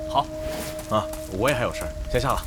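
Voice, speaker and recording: A man's voice: mid-range voice, expressive, quick pace, loud music behind the voice, natural voice. A man playing a brash, sly gamer who schemes gleefully in an audio drama. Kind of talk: Chinese audio drama dialogue.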